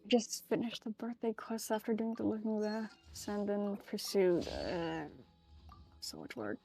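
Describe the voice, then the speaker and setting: A woman chatting casually about a game, halting and repeating words as she explains. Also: medium pitch, expressive, vocal fry, warm tone, faint music behind. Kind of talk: casual gaming chat.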